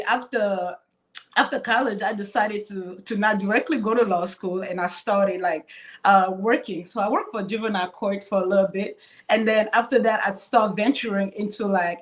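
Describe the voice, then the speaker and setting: Woman from Cameroon, hesitant video-call panelist. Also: medium pitch. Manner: hesitant, many restarts and fillers. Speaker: panelist, cybersecurity professional.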